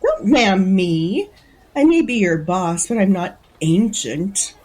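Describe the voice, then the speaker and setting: An actress playing an imperious, vain middle-aged hotel owner, curt and snappish, in a period audio drama. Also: female voice, mid-range voice, expressive, slow, darkish tone. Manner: curt, haughty, scolding.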